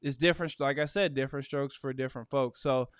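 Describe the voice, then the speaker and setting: A man talks through a casual realtor livestream in an upbeat, chatty way, with lots of "uh" and "you know" along the way. His voice is smooth and resonant. It sits dry and close on the mic, with no room sound. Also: mid-range voice, fairly even intonation, fast, dry recording. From Michigan. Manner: chatty, upbeat, with frequent fillers.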